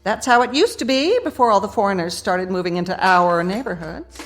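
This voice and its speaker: An actress playing a domineering, bigoted, nagging wife in a radio drama, sarcastic, commanding and full of contempt. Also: female voice, medium pitch, expressive, fairly quick, faint music behind, natural voice. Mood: indignant.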